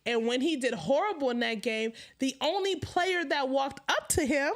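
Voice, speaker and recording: High-voiced animated woman host with a stutter. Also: normal speed. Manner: animated, laughs often, stutters. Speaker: live-stream host. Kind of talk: casual live-stream chat.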